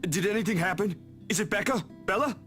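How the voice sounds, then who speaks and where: Urgent, worried young man for visual novel, higher voice, agitated delivery, faint guitar music behind. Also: quick pace, fairly bright tone. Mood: panicked. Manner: agitated, questioning. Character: anxious, urgent young man, alarmed for his friends.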